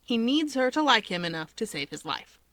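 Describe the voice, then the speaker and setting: A woman's voice: fairly high pitch, very animated, fairly quick, fairly bright tone, dry recording. Woman reading fiction, close dry sound. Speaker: story reader. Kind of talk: scripted narration of fan fiction with character dialogue.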